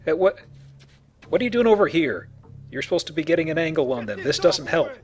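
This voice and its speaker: A man's voice: a little high, big pitch swings, brisk, faint music behind. A man giving casual, wry, joking commentary as he plays a video game. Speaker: let's play host and player.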